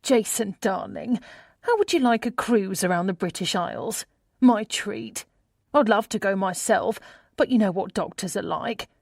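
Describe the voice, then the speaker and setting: A woman's voice: mid-range voice, animated, unhurried, gravelly, darkish tone, no room sound, clean studio sound. Woman putting on a breezy, meddling mother. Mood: breezy, coaxing. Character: meddling, self-satisfied mother, breezily generous.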